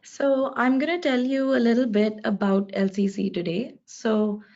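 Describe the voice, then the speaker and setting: A woman from Delhi in India, a postgraduate design student, introducing herself slowly and deliberately on a webinar panel. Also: on the high side, fairly even intonation, creaky, a little mellow. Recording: dull lo-fi video call audio.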